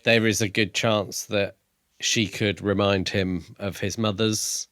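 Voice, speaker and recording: A man with a lowish voice speaks in a very dry, close-sounding recording. He is a podcast co-host in casual conversation, tentatively musing as he builds each thought. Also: flat delivery, slow, fairly bright tone, no room sound. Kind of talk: casual discussion.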